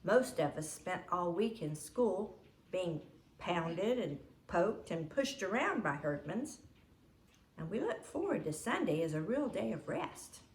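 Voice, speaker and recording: A woman reading a children's story aloud in an animated, expressive narrating style. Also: fairly low pitch, a little slow, reverberant, low-quality recording. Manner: animated, acts out dialogue. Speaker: storybook reader.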